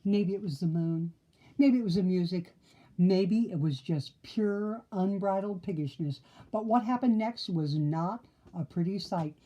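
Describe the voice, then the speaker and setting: A woman reading a children's story aloud in an expressive narrating style. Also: medium pitch, a little slow, lo-fi sound. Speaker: storybook reader.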